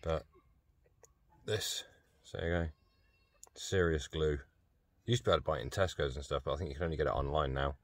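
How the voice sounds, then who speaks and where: Deep-voiced British man, casual DIY talk. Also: brisk, no echo. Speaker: vlogger demonstrating a repair. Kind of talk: casual running commentary on a DIY job. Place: covered outdoor patio by a hot tub. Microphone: handheld phone camera mic. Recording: faint ambient noise, handheld phone recording.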